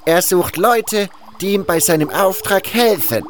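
An actor playing a haughty, fanatical cult guru and alchemist in an audio drama, hissing and drawing out words with contempt. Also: male voice, high-pitched, measured pace. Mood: impatient, condescending.

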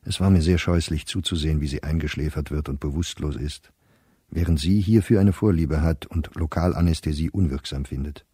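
An actor playing a middle-aged German scholar who reads his despondent, anxious diary in a measured, restrained way for a radio play. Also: extremely low pitch, warm tone, slightly rough, no room sound, clean studio sound, exaggerated voice. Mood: uneasy, dated entries.

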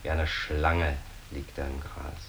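A very low male voice with faint hiss around it. a principled, immovable priest in a radio drama, choosing his words in a measured and formal way. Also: little pitch movement, a bit bright, full character voice. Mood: wistful, quiet. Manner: terse, measured, formal answers. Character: stubborn, principled priest under police questioning. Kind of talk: German radio play dialogue.

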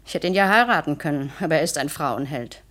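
Low-voiced scornful wife. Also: female voice, expressive, fast talker, a bit bright. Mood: disdainful. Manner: curt, contemptuous. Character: cold, scornful wife belittling her husband. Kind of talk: 1960s German radio play acting.

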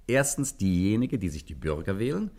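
An actor playing a suave, self-satisfied gentleman gangster in a 1950s radio play, pompous with a playful smugness. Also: low voice, very animated, fairly quick, exaggerated voice. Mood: jovial, scheming.

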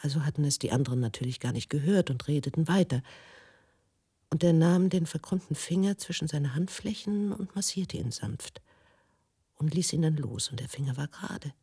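An actress in a German radio drama monologue, playing a troubled, brooding woman recalling the past in a measured, intimate way. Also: low voice, lively intonation, warm tone, husky, no echo, studio quality, exaggerated voice. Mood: uneasy, intense.

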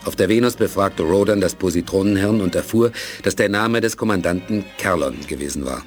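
Male narrator, very deep voice, even storytelling, faint music. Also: a little fast. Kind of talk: scripted narration for a radio play.

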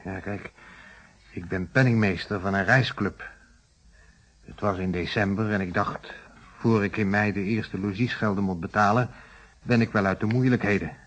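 An actor with a Netherlands Dutch accent playing a troubled, defensively candid shopkeeper in a radio play, plain-spoken and explanatory. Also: male voice, on the low side, fairly even intonation, normal speed, husky. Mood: confessing, explanatory.

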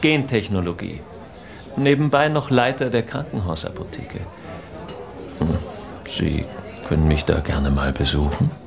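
An actor playing a smug, insinuating hospital doctor with a smooth, sonorous voice in a radio crime drama. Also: male voice, deep voice, lively intonation, unhurried, pauses often, over music, exaggerated voice. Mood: smug, knowing. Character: smug, oily male hospital doctor and scientist.

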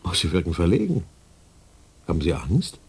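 An actor playing a smooth, insinuating research director in a German science fiction radio play, polite but probing. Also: male voice, deep voice, wildly swooping pitch, normal speed, exaggerated voice. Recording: faint background noise, early-1990s radio drama.

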